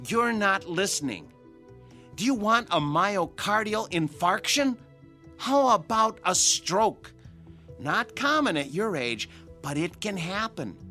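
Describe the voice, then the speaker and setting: An actor playing a stern, concerned doctor in a radio drama, speaking firmly and emphatically. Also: male voice, sits high, very animated, slow pace, bright, forceful tone, faint music behind, full character voice. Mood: firm, admonishing. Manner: firm, emphatic, admonishing. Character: stern, concerned male doctor lecturing a patient.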